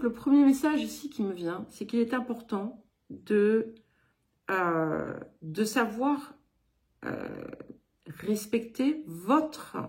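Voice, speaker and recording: A woman from England giving a card reading in French with a slight English accent, speaking in an unhurried, hesitant way with many pauses. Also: mid-range voice, mellow. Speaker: card reader giving a weekly guidance. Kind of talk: slow spoken tarot-style reading.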